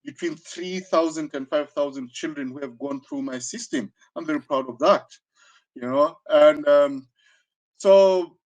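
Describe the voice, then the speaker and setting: A man of sixty with a southern African accent speaks in a slightly high voice. He talks reflectively, as a guest being interviewed, feeling his way through phrases with many repeats and verbal tags. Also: a little mellow, poor audio. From Zambia, born in South Africa. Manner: halting, searching delivery with frequent repetitions and 'you know'. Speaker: interview guest, karate teacher.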